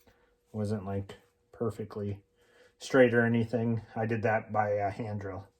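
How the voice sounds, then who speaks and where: A man explaining homemade tools in a casual, unscripted how-to style, informal and halting as he thinks aloud. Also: on the low side, flat delivery, darkish tone, a little hiss or hum. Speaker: hobbyist mechanic presenting a homemade tool demonstration.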